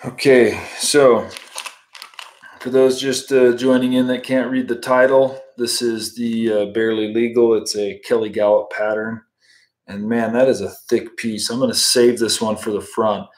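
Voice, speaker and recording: A man's voice: mid-range voice, measured pace. A man in his thirties from the United States, casually teaching fly tying on a livestream while chatting with viewers from home. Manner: relaxed, chatty, answers viewer comments while working. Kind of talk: casual live tutorial with chat. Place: home room, indoors.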